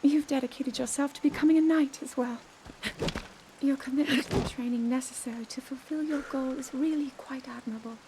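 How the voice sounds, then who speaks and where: An actress voicing an earnest, thoughtful young princess and scholar in a game cutscene, speaking in a measured way. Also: female voice, high-pitched, steady tone, background noise. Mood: pensive. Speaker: game character.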